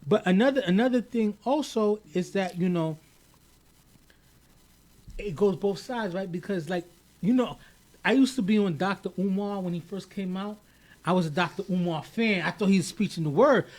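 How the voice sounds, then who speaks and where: A man co-hosts a podcast in a casual, animated way. His high voice pushes hard on key points, and he often repeats a word before he gets going. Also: moderate pace. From United States, of Haitian parents. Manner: animated, emphatic, repeats words when starting. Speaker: podcast co-host.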